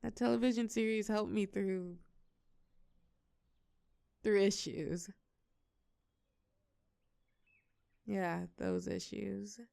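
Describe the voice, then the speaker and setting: A woman's voice: medium pitch, steady tone, slow pace, gravelly, mellow, no room sound, clean studio sound. A woman playing a shy, sweet, geeky young woman in an intimate romantic audio roleplay, speaking hesitantly and earnestly. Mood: shy, vulnerable.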